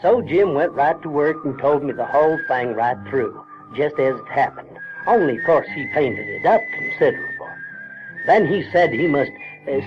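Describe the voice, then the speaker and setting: An actor playing an uneducated, conscience-troubled Southern boy who narrates an audio drama in a halting, rustic way. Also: fairly high pitch. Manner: halting, repeats words, rustic grammar. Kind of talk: folksy first-person audio drama narration. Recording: dull vintage radio-drama recording, music behind.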